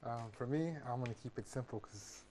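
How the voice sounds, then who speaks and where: Halting man, faint hum behind. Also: mid-range voice. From Maryland, USA. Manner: halting, repeats words, casual. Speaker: panel guest, regular.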